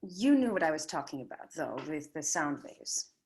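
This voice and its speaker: Low, husky glamorous hostess played by an actress. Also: female voice, very animated, moderate pace, darkish tone, full character voice. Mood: playful, confident. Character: gracious, flirtatious, self-assured glamorous woman inventor named Hetty. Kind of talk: table read of a screenplay.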